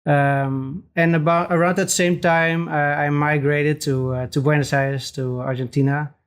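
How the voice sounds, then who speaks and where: A man from the Netherlands with a Dutch accent, a podcast guest talking casually with frequent hesitant pauses. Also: on the high side, level tone, clean tone. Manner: hesitant, many pauses and restarts. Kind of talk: casual interview chat.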